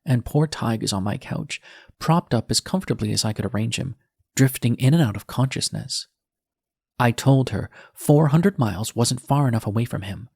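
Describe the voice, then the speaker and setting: A man's voice: medium pitch, lively intonation, moderate pace, creaky, a little mellow, breathy edge, no echo, clean studio sound. A man narrating an audiobook in the first person as a defiant, bitter young male nurse, with a sardonic, edgy manner. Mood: wry, bitter.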